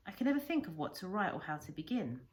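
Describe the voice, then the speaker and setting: A woman reading a storybook aloud like a primary teacher, with deliberate, expressive phrasing. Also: fairly low pitch.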